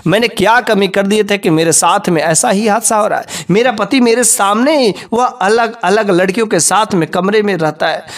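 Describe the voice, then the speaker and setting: A man's voice: high-pitched, moderate pace, hardly any pauses, recorded from a distance. A man voicing a suffering, devout, submissive young wife in a story, pleading plaintively. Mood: desperate pleading.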